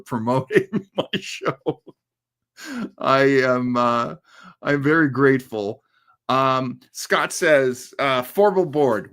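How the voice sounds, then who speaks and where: Male livestream host, medium pitch, conversational commentary, thoughtful delivery with plenty of pauses. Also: a bit bright. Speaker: livestream host of a classic radio horror show. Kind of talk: casual live commentary and chat with listeners.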